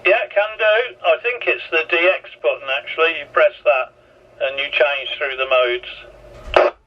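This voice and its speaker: A man's voice: a little high, unhurried. A man chatting casually, heard through a two-way radio transmission. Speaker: radio hobbyist. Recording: distant, muffled, faintly noisy radio audio.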